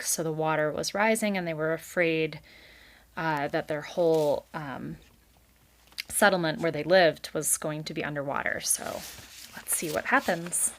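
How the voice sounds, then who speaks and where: Woman reading a children's story aloud. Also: on the low side. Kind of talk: expressive read-aloud narration with brief recap.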